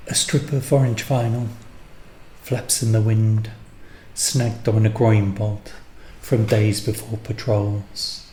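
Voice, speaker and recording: A man reading poetic scripted narration in measured, deliberate phrases. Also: fairly low pitch, flat delivery, mellow, echoey room, slight background noise.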